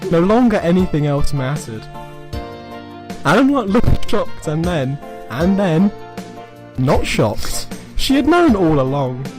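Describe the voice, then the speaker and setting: A man with a slightly high voice reads amateur fiction aloud over music. He delivers it as a mock-serious dramatic reading, stretching certain phrases for effect and tripping over others. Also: big pitch swings, takes it slowly. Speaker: reader of amateur fan fiction. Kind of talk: comic dramatic reading of a written story.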